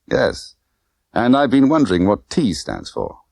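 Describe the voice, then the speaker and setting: A man's voice: lowish voice, huge pitch swings, a little slow, vocal fry, a little mellow, no echo, clean studio sound, pitched above the performer's own voice, heavily acted. A man voicing a cool, easygoing British secret agent, unruffled, laconic and lightly teasing.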